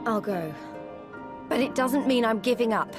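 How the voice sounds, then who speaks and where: A woman's voice: on the high side, animated, moderate pace, over music, lightly acted. A woman playing an earnest, determined young resistance fighter in a video game, plain-spoken and sincere. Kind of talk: video game cutscene acting.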